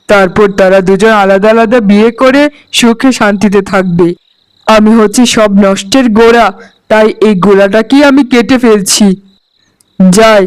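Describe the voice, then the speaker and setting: A man acts a henpecked husband in a cartoon folk tale. His voice is strikingly high and smooth, and he laments his lot in a self-pitying, melodramatic way. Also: natural voice, low-quality recording. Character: despairing, henpecked husband of two wives. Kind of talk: animated folk-tale voice acting.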